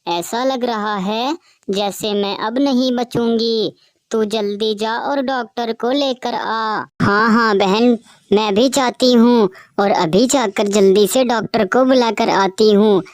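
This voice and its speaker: A woman's voice: on the high side, slow pace, dry recording. A woman voicing a caring female bird who raises her friend's orphan, emotional and outspoken. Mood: worried. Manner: emotional, wailing, scolding. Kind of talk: cartoon voice acting.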